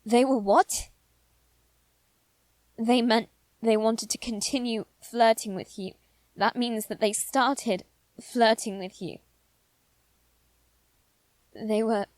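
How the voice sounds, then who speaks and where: Haughty, protective boy noble female actor, measured and halting, intimate dry audio roleplay. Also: fairly high pitch, steady tone, darkish tone, no room sound. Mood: indignant disbelief. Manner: hesitant, halting, repeating phrase openings. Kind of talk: scripted ASMR roleplay spoken to the listener.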